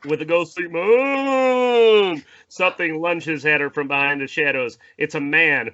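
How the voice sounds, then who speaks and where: Bright-voiced man reading dramatic narration. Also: a little high, unhurried, highs cut off. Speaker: narrator at a screenplay table read. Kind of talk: dramatic scripted narration, informal with asides.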